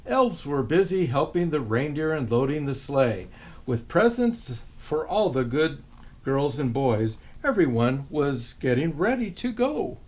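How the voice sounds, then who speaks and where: A man reading a children's picture book aloud as a storyteller, expressive and unhurried. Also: on the high side.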